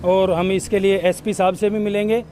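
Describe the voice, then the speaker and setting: A man's voice: sits high, little pitch movement, normal speed, warm tone, slight background noise. A man giving an earnest, explanatory account in a news interview. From Haryana, India. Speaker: villager interviewed by local news. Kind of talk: on-camera news interview testimony.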